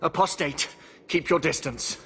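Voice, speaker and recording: An actor playing a dutiful, devout knight in a fantasy video game, speaking formally and solemnly. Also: male voice, a little high, a little slow, creaky, a bit bright, slight background noise. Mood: stern, threatening. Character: stern, dutiful templar knight, devout and suspicious.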